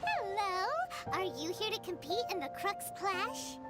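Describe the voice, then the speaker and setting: A woman voicing a chatty, chirpy female fairy companion in a video game, with a high, squeaky, cartoonish voice, who talks about herself in the third person. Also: big pitch swings, measured pace, full character voice. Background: game music.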